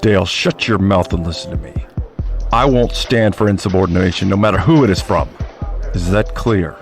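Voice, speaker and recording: Deep, gravelly crime boss. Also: male voice, normal speed, soft background music. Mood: angry, commanding. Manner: commanding, foul-mouthed. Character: stern, menacing crime boss and father. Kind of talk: horror mystery radio drama acting.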